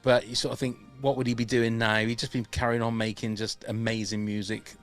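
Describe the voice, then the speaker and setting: A man's voice: medium pitch, little pitch movement, fairly quick, soft background music. A man hosting a podcast over a video call, chatty and self-deprecating, often laughing at himself. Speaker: podcast host. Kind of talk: casual podcast chat.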